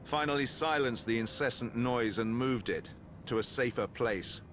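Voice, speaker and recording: A man playing a cold, grandiose sorcerer villain in a video game, disdainful and menacing in his deliberate delivery. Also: medium pitch, fairly bright tone, slight background noise, close to an everyday voice. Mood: cold contempt. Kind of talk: video game cutscene acting.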